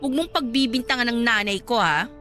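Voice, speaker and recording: A woman's voice: a little high, animated, fairly quick, fairly bright tone. A woman playing a smug, cold-hearted young wife and scornful rival in a Filipino radio drama, dismissive and taunting, with a Filipino accent. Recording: dramatic music bed behind the voice.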